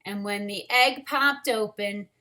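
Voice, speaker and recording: A woman reading a picture book aloud to young children, expressively, with drawn-out words and wondering asides to them. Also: mid-range voice, bright, forceful tone. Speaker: storytime reader for young children.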